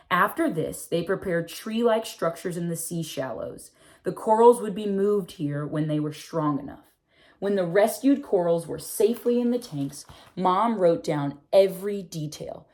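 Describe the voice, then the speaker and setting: A woman reads a children's picture book aloud in a slightly low voice. She is expressive and drawn out, often slowing down to stretch her phrases. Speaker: read-aloud narrator.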